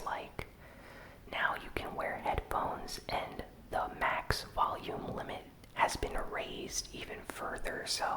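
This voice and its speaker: An ASMR host whispering an informal gaming news recap in a breathy, soft, unhurried way. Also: poor audio.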